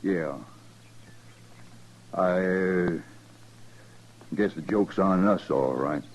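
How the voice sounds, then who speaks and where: An actor playing an evasive, callous American rancher in a 1950s radio western, with folksy, halting, understated talk. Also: male voice, deep voice, heavily acted, low-quality recording. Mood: uneasy, offhand. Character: evasive, callous male rancher confessing to a lynching.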